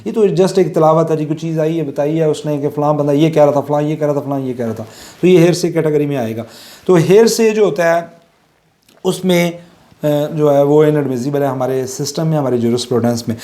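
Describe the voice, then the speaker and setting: A man's voice: a little high, fairly quick, mellow. A middle-aged man with a Pakistani accent gives an informal, conversational lecture, explaining with everyday examples and mixing in English legal terms. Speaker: law lecturer.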